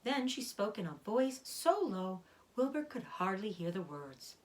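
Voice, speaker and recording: A woman reading a children's story aloud as host, with expressive storybook narration. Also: medium pitch, unhurried, rough recording.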